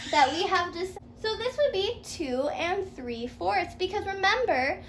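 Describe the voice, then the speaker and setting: Very high-voiced woman teacher, slow-paced. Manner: encouraging, sing-song questioning, echoes the pupil's answers. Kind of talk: playful fairytale math lesson, teaching a pupil step by step. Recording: roomy echo, faint background noise.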